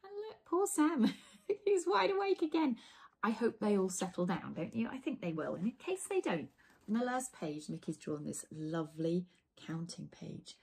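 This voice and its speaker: A woman's voice: mid-range voice, big pitch swings, normal speed, vocal fry, a little mellow, breathy edge. A woman in her forties reading a children's storybook aloud, playfully engaging listeners with questions. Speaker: children's author reading her own book. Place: small indoor room lined with bookshelves.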